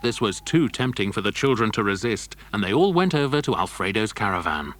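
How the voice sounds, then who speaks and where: A man's voice: on the low side, animated, a little fast, a bit bright. A man narrating a children's adventure story from a script.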